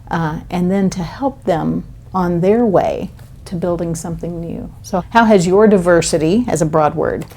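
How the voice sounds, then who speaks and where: Host: woman, mellow dark tone with vocal fry, enthusiastic interviewer. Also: on the low side. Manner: effusive, warm praise, frequent affirmations. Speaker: podcast host. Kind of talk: casual interview chat.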